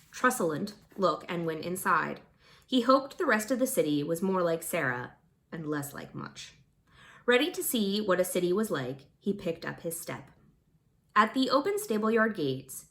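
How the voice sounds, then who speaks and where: A woman reading a fantasy novel aloud as a volunteer narrator, expressive and informal, with the odd stumble. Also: fairly low pitch. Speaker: audiobook-style volunteer reader.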